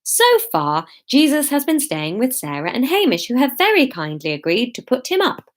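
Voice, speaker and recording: A woman plays a village vicar in a storybook reading. He sounds formal and earnest, a little stern, making measured announcements in a fairly high register. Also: sing-song. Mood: formal, welcoming. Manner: formal, measured public address.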